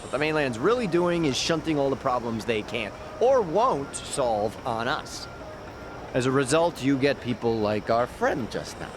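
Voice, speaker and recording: A man's voice: mid-range voice, expressive, a little slow, background noise, close to an everyday voice. An actor playing a cynical, wry, streetwise local man in an English-dubbed video game, chatty and given to sardonic explanations.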